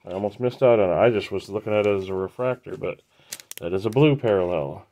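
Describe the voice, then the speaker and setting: A man hosting a trading card break, casually naming each card as he opens the packs in an unhurried, matter-of-fact way. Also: fairly low pitch, warm tone. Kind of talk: casual pack-opening commentary.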